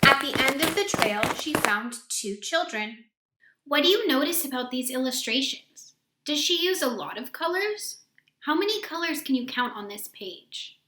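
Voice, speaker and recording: A woman reading a picture book aloud to children in an expressive way, stopping to ask them questions. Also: high voice, moderate pace. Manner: expressive, asks listeners questions. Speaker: read-aloud host for children. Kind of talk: children's storybook read-aloud. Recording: roomy echo.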